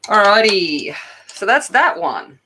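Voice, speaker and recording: A woman hosting a coloring channel, chatting loudly and casually with viewers while she colors, often poking fun at herself. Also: mid-range voice, very animated, slow pace, a little hiss or hum, low-quality recording. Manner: loud, chatty, self-deprecating.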